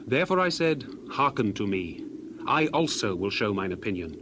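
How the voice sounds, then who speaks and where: A man's voice: fairly low pitch, very animated, normal speed, noisy background. A man playing an indignant, earnest young man in dramatized scripture, speaking in emphatic, oratorical archaic phrases. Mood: earnest, restrained indignation.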